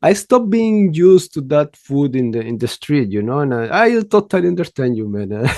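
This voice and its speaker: A man's voice: on the high side, sing-song, normal speed, darkish tone, no echo, clean studio sound. A man from Mexico, a native Spanish speaker with a Mexican accent, hosting a casual, chatty interview in English.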